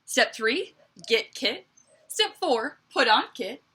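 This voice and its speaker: A woman reading a picture book aloud to children like a teacher, with expressive emphasis and chatty asides. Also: a little high, slow pace, breathy edge. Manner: expressive, dramatic emphasis, conversational asides to young listeners.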